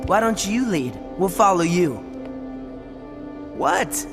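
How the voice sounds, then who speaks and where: A man's voice: high-pitched, expressive, takes it slowly. A cocky teen car guy, kinda dry and blunt, game music under. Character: cocky teen boy mechanic, guarded and blunt. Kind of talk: video game cutscene acting.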